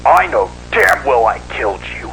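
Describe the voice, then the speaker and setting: A man playing a cruel, unhinged, foul-mouthed villain in a dark amateur audio drama, sneering and drawing out his words. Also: mid-range voice, sing-song, moderate pace, gravelly, a little hiss or hum, full character voice, rough recording. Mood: angry, fed up.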